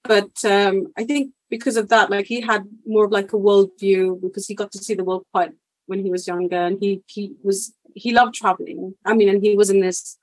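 A woman's voice: medium pitch, steady tone, moderate pace, darkish tone, no echo, low-quality recording. Woman, young adult, chatty, laughs a lot, dry recording. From India and the United Kingdom. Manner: chatty, laughs often, many fillers. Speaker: guest. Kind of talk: casual conversational interview.

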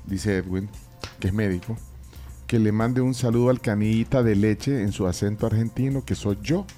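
A man's voice: lowish voice, moderate pace, warm tone, soft background music. A man hosting a live studio talk show, joking and reading out viewer messages. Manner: jokey, reads viewer messages. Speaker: host.

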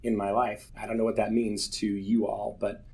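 A man's voice: on the low side, flat delivery, moderate pace, gravelly, a little mellow. A man in his forties giving an earnest, candid personal testimony to a church group, telling stories with self-deprecating humor. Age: 40s.

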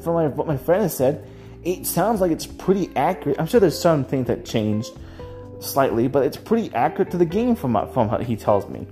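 A host talks alone in a mellow, relaxed tone at a middling pitch. The delivery is casual and rambling, hesitant and circling back over phrases. Music plays faintly behind the voice. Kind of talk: casual rambling solo commentary.

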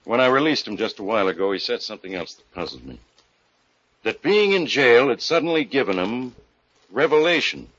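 A man's voice: mid-range voice, very animated, fairly quick, fairly bright tone, slightly rough. A man playing a blunt, wisecracking police inspector with slangy, hard-boiled delivery in 1940s radio mystery style. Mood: wry warning. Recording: muffled old-time radio recording.

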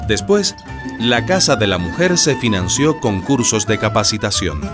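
A man narrating a political radio drama from a script, stressing key phrases deliberately. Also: lowish voice, very animated, music in the background. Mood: storytelling.

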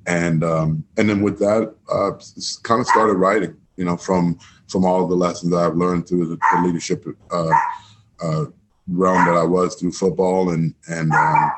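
A man's voice: low-pitched, flat delivery, normal speed, heavy background noise. A man from Miami, a former football coach, talking casually as a podcast guest, with many restarts and repeated words. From Miami, Florida. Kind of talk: casual interview chat.

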